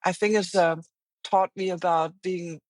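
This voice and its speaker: A woman's voice: fairly low pitch, steady tone, a little slow, a little mellow, dry recording. A middle-aged woman with a German accent, speaking as an interview guest in an earnest, reflective way with frequent restarts. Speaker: guest, finance executive. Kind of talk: interview conversation.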